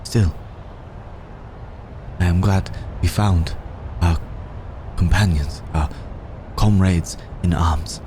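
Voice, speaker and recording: A man playing a humble, devoted servant in an ASMR audio roleplay, speaking in halting, deferential, formal phrases. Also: deep voice, steady tone. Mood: subdued, reverent. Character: humble, devoted male servant of an exalted undead ruler. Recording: clear background storm ambience noise.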